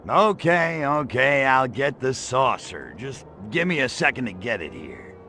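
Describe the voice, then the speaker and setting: An actor playing a brash, lecherous alien invader in a video game, with a cocky, sarcastic, slangy delivery. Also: male voice, mid-range voice, big pitch swings, unhurried, pushed and bright, faint music behind. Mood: grudging, sly. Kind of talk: video game cutscene acting.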